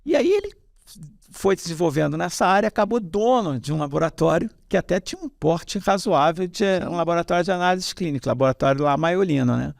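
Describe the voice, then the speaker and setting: A man's voice: a little high, animated, a little fast, vocal fry, no room sound, clean studio sound. A middle-aged man with a Carioca accent, telling his life story in a casual interview, rambling with many fillers and restarts. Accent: Carioca Brazilian Portuguese. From Rio de Janeiro, Brazil. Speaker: hematologist guest.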